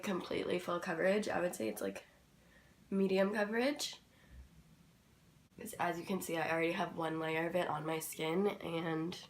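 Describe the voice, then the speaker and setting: Young woman, slightly raspy, just woke up, low voice, rambling casual chat, bedroom vlog. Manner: chatty, rambling, full of "like" and "um". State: tired, just woken up. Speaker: beauty vlogger. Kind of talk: casual makeup tutorial chat. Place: her bedroom.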